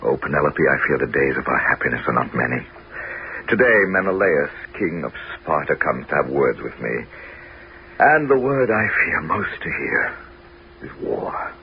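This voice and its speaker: A crafty, heroic king with a very deep voice that rises and falls dramatically. He declaims like a stage actor in an old radio play. The broadcast sound is dull, with faint hiss. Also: very animated, moderate pace. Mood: grave. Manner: theatrical, declamatory. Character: Ulysses, crafty heroic king, feigning madness. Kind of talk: 1970s radio drama acting.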